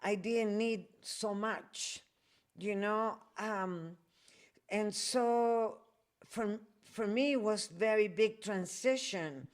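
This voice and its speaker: A woman raised in Chile and Peru, hosting a podcast in a reflective way and often pausing to find her words. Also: mid-range voice. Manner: slow, reflective, searching for words. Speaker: podcast host. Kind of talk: conversational interview.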